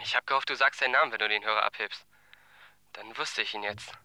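Surly teenage boy for radio play: forceful bright voice, curt, dismissive, domineering. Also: on the low side, fast talker. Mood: guarded, softer. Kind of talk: radio drama dialogue.

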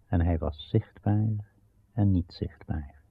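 A man narrating a radio play of old legend in a grave, measured, solemn storytelling style with dramatic pauses. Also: low voice, slightly rough. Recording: muffled, narrow-band old radio recording.

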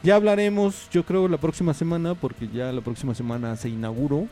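A man's voice: on the high side, steady tone, a little fast, dark tone, slight background noise. A man speaking, hosting a casual community radio show with a rambling, chatty manner full of fillers and asides. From Mexico. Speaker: radio host. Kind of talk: casual on-air chat. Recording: radio studio with faint music behind.

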